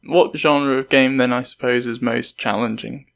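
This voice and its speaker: A man's voice: mid-range voice, steady tone, moderate pace, creaky, a bit bright. A man hosting a podcast, asking interview questions in a conversational way.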